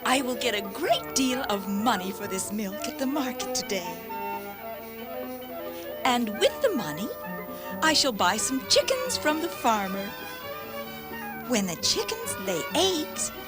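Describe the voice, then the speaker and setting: A vain, dreamy young milkmaid from a cartoon fable. Her voice is high and swoops widely, with slow, melodramatic phrasing. Faint music plays behind her. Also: very animated. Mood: dreamy, smug. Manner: theatrical, drawn-out delivery. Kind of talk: children's cartoon fable acting.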